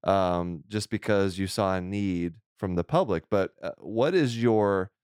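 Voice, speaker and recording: A man hosting a relaxed podcast interview. His voice is low and smooth and resonant, and he talks in a chatty, joking way, full of restarts and asides. The recording is dry and close. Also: measured pace, no room sound. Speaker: podcast host. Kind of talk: casual interview chat.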